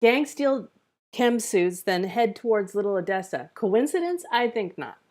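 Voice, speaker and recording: A woman's voice: mid-range voice, big pitch swings, a little slow, darkish tone. A woman acting a defiant, sarcastic police officer in a screenplay table read, with a blunt delivery. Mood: wry, determined. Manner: sardonic, blunt.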